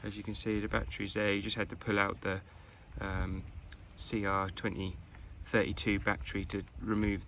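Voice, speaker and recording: A British man giving a casual hands-on product review in an informal, halting way. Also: sits low, fairly bright tone, a little hiss or hum.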